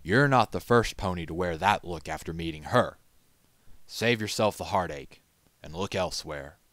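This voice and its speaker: A man's voice: low-pitched, animated, normal speed, fairly bright tone, dry recording. Man doing a hearty, knowing old market merchant. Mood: amused. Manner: hearty, worldly-wise, fatherly. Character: knowing, avuncular older merchant stallion pony.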